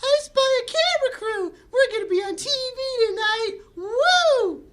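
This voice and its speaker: A man voicing a cute, excitable little girl superhero in a bubbly, exclaiming way at a script table read. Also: very high-pitched, unhurried, voice pushed up, full character voice. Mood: excited, gleeful.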